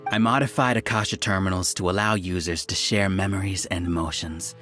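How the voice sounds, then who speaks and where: A man's voice: sits low, steady tone, brisk, a bit bright. A man playing a suave, calculating researcher villain in a video game, polite, condescending and persuasive. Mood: calm, self-justifying. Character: suave, calculating male researcher villain, calmly self-righteous. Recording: music behind the voice.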